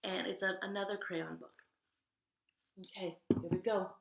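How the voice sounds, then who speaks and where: A woman reads a picture book aloud in an echoey room. Her voice has a medium pitch with a noticeable creak. She is expressive and breaks off to chat directly with young listeners. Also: moderate pace, very noisy. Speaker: read-aloud storyteller. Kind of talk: animated children's picture-book read-aloud with chatty asides.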